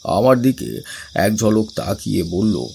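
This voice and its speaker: A man with a lowish, gravelly voice narrates a suspense story. His delivery is grave and very slow, with dramatic swings in pitch. Music plays softly underneath. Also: sing-song, slight background noise. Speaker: narrator and lead character of horror audio story. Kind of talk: dramatic scripted first-person narration.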